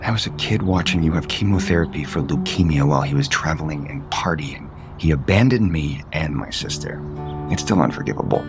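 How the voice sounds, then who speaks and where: A man's voice: extremely low pitch, fairly quick, music in the background. A male actor playing a guarded, conflicted adult son and unhappily married father in an audio soap opera. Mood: bitter, earnest. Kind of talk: naturalistic soap opera acting.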